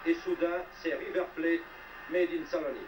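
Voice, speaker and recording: A man's voice: a little high, fairly even intonation, normal speed. A man narrating a scripted football report with dramatic, wry and literary phrasing. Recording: roomy, distant, noisy old TV soundtrack.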